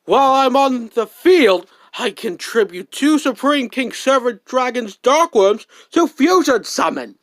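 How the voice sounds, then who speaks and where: Fan dub card-duelist read: declamatory anime theatrics, measured pace, dry recording. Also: high-pitched, lively intonation, no room sound. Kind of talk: amateur anime-style fan dub of a card game duel.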